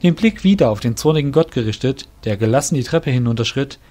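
A man's voice: mid-range voice, animated, quick pace, dark tone. A man narrating a scripted fantasy audio drama as storyteller. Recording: music under the voice.

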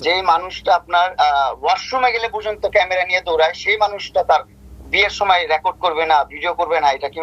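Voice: mid-range voice, a little fast, a bit bright, highs cut off, a little hiss or hum. A speaker arguing in an accusing way, putting pointed rhetorical questions. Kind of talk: argumentative talk.

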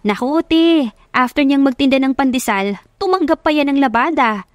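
A woman's voice: high-pitched, lively intonation, normal speed, no room sound, voice pushed up. A woman voicing a teasing, chatty young best friend with a playful, slangy, bantering manner in an audio love story. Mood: excited.

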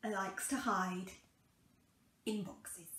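A young woman reading a children's picture book aloud in a deliberate, sing-song way with dramatic pauses, recorded in a small room at home. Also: mid-range voice, fairly even intonation, bright, forceful tone, roomy sound. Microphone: camera a couple of metres away.

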